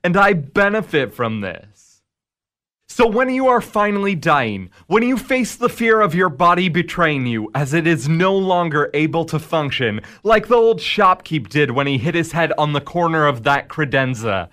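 An actor playing the Devil in a radio drama, a smug, needling tempter who talks in a measured, sardonic way. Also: male voice, fairly high pitch, big pitch swings, a bit bright. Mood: smug, persuasive.

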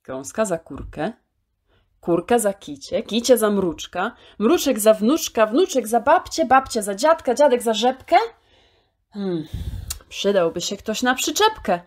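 A woman storyteller reciting a children's poem in an expressive, theatrical way, drawing out key words. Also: fairly high pitch, unhurried.

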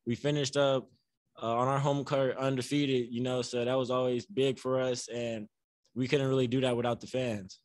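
A soft-spoken young man answers reporters at a postgame press table, relaxed and easygoing, with lots of "you know" and "like". His voice sits in a middle pitch. The sound is dry and close on a table mic. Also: moderate pace, no room sound. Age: young adult. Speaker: college basketball player. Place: press room before a banner. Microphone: table mic on a stand.

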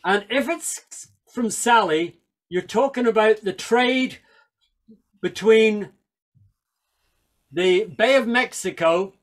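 An older man with a high voice tells stories in a wry, chatty way, throwing in asides. He talks over a video call into a headset mic from a small room at home. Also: slow, long pauses. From Northern Ireland, raised in England. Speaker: folk singer and speaker. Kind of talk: storytelling talk on a video call.

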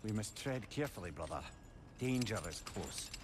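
An actor playing a wry, knowing old advisor in a video game, with a Scottish accent and a counselling manner. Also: male voice, lowish voice, animated, moderate pace, background noise, close to an everyday voice. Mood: cautioning. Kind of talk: dramatic video game acting.

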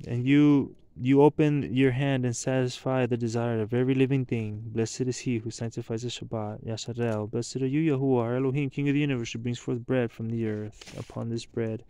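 Dark-toned man leading outdoor prayers. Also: medium pitch, fairly even intonation, brisk, few pauses, clean tone, dry recording. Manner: prayerful, rising into loud chanted blessings. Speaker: leader of a Sabbath blessing. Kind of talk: spoken prayers and blessings. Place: outdoors at night at a picnic table.

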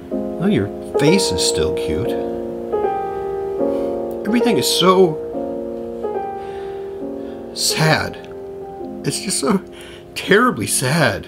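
A man's voice: fairly high pitch, exaggerated voice. An actor playing a harried, foul-mouthed, despairing middle-aged man in an audio play, stammering and rambling in exasperation. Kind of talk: audio theater acting.

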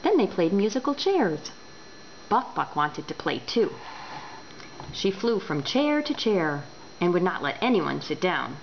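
A woman reading a children's book aloud indoors, expressive and deliberate. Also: on the low side, creaky. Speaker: storyteller. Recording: dull home recording with background noise.

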